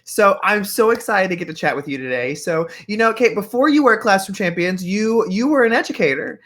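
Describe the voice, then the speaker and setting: A man's voice: high-pitched, lively intonation, brisk. A man hosts an interview in an informal, encouraging way. He leans on fillers and restarts as he sets up each question. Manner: warm, encouraging, many fillers. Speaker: podcast host. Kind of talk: interview hosting.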